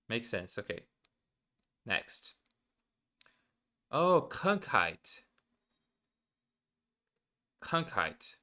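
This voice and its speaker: Male language learner, native Portuguese speaker, hesitant and self-correcting, slow delivery, smooth clear voice. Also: fairly low pitch, sharp tone. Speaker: language learner streaming live. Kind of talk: thinking aloud while studying.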